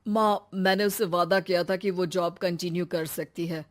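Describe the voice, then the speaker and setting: A woman voicing a caring, teasing young married man in an animated moral story. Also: on the low side, normal speed, close to an everyday voice. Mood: earnest, concerned.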